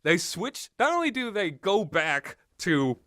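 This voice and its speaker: A man reviews games in a loose, unscripted ramble. His voice is bright and sharp-edged, with an easily amused manner. The sound is dry, with no room around it. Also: high-pitched, big pitch swings, measured pace, no echo. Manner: rambling, often breaks into amused laughter. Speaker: video game reviewer.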